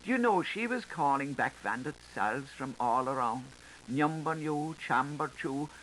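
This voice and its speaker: Man as a sharp-tongued gossip, faint tape hiss. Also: mid-range voice, lively intonation, a little slow, poor audio. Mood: confiding. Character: knowing, sharp-tongued Irish washerwoman telling scandalous gossip. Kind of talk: dramatic literary audiobook reading. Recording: hissy 1985 tape recording.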